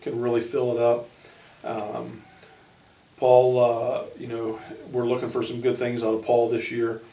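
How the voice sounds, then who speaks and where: An American man speaking as a basketball coach, informally introducing his team in halting short phrases. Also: medium pitch, completely flat delivery, mellow. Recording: echoey room, distant microphone.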